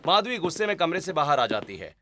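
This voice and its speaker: Male storyteller, bright forceful delivery, fairly high pitch, expressive dramatic family-story narration. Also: quick pace.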